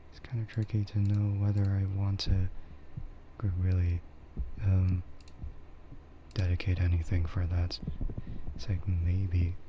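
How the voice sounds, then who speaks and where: A soft-spoken man chatting on an ASMR livestream, often slipping into whispers, with keyboard typing and clicking behind him. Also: low voice, completely flat delivery, measured pace, pauses often, dull audio, a little hiss or hum. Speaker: streamer.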